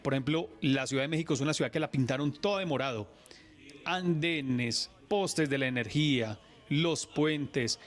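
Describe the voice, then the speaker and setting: A Colombian radio sports journalist, a man, telling his stories in an earnest, animated way during a radio interview. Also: fairly high pitch, normal speed.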